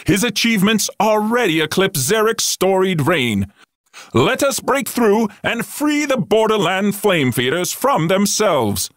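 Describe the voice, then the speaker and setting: A man's voice: sits high, sing-song, a little slow, fairly bright tone, no room sound, clean studio sound, full character voice. A man playing a pompous, loyal propaganda herald, declaiming scripted empire news in a game. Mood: triumphant. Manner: grandiose, declamatory.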